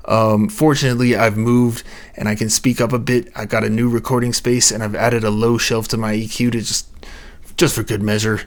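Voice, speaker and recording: Low, soft-spoken man narrating a mystery. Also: little pitch movement, a little fast. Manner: low and soft-spoken, recorded late at night. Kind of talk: reading a scripted mystery narration. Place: home recording space.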